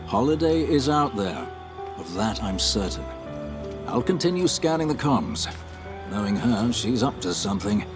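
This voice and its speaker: Seasoned British field scout for a game: low register, courteous, dryly understated, clear music underneath. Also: male voice, sing-song, a little slow. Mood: brisk, concerned. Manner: polite, dry, understated. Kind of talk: video game cutscene dialogue, mission briefing. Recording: music playing behind the voice.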